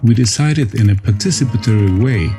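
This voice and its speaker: A man giving calm, scripted narration for a documentary. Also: on the low side, faint music behind. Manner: calm, measured.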